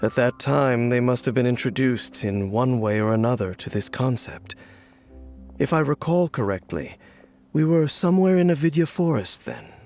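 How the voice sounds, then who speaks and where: An actor playing a grave, brooding male wanderer in a video game, with measured, formal, solemn speech. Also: medium pitch, expressive, a little mellow, soft background music, lightly acted. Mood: grave, reserved.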